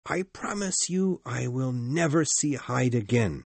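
A man acting a grave, formal gentleman in a gothic mystery audiobook, speaking in a deliberate, earnest way. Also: medium pitch, lively intonation, gravelly, slightly rough, no room sound, heavily acted. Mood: earnest, insistent. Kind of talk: dramatised audiobook dialogue.

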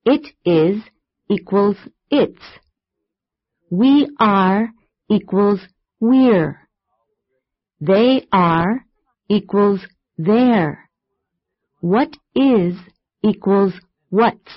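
A woman voicing Liz, a friendly woman in a scripted English textbook dialogue, enunciating clearly and carefully for learners. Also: medium pitch, animated, drawn-out words, pauses often. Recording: muffled lo-fi tape.

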